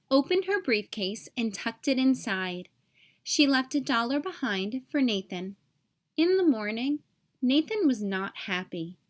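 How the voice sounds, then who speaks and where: A woman reading a children's picture book aloud as an expressive storyteller. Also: on the high side.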